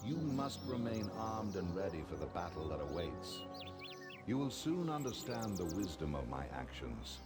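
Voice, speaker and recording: A very deep male voice for a regal god in a fantasy video game. He is grave, formal and commanding, with measured authority and little patience. Loud music plays behind him. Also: sing-song. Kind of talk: scripted fantasy game acting.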